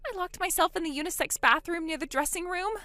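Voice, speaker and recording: A woman voicing a frightened young woman who pleads and panics in a high, strained voice. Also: fast, pushed and bright, no echo. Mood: panicked.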